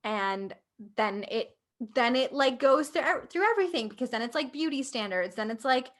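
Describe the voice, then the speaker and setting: Woman, Canadian accent, young adult, casual podcast co-host, filler-heavy, higher pitch. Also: lively intonation, normal speed. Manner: thoughtful, filler-heavy, many "like"s. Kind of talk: casual conversation.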